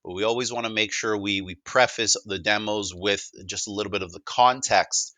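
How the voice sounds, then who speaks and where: A man with a Canadian accent and a low, forceful voice gives an informal demo pitch. He talks discursively, stumbling and doubling back on words. The sound is dry and close. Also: fairly even intonation, moderate pace, no room sound. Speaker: presenter of a technology demo. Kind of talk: casual sales presentation, conversational.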